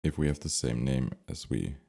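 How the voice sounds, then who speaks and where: A man giving an unscripted software tutorial, thinking aloud step by step as he instructs. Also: rumbling low, expressive, moderate pace, vocal fry, slightly rough.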